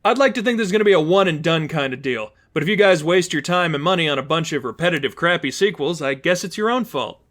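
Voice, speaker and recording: Man voicing a cocky wisecracking young superheroine, raised pitch. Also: expressive, fast talker, few pauses, fairly bright tone. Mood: sardonic. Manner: sarcastic, breezy, chatty.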